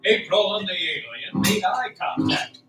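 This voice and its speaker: A man's voice: high voice, unhurried. A man narrating a scripted audio drama in a theatrical, suspenseful storytelling style. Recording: roomy, distant, music underneath.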